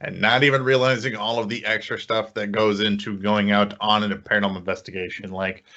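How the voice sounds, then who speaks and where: A man's voice: lowish voice, flat delivery, fairly quick, bright, forceful tone. A man co-hosting a podcast in casual conversation. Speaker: podcast co-host. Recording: slightly dull, lo-fi audio.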